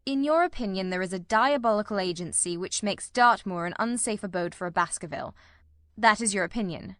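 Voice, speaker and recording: A brisk, incisive Victorian gentleman detective, voiced by a woman reader. The delivery is precise and commanding with dry wit, at an ordinary female pitch. The sound is close, with no room around it. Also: no room sound, natural voice. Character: brisk, incisive, dryly witty male detective. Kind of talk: dramatised reading of a Victorian detective novel.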